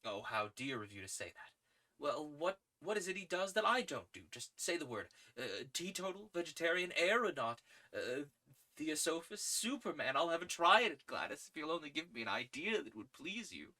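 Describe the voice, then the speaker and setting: A woman's voice: low voice, very animated, normal speed, bright, forceful tone, pitched above the performer's own voice, exaggerated voice. A reader doing an eager, stammering young man. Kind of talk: audiobook character reading.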